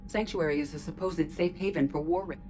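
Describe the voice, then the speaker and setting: Woman acting a composed, lowish-voiced ship intelligence. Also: fairly quick, a little mellow, soft background music, lightly acted. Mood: calm, factual. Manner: formal, factual.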